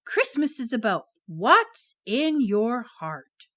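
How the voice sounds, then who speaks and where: A woman voicing a solemn, kindly male spirit of Christmas in a children's puppet show, measured and instructive. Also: fairly high pitch, very animated, sharp tone, no echo, studio quality, exaggerated voice. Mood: gentle, solemn.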